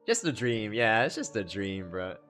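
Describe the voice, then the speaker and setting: Animated, bright-voiced young male streamer. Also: on the low side, moderate pace. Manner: excitable, slangy, exclamatory. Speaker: game streamer. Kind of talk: live cutscene reaction commentary, casual chat. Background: game music.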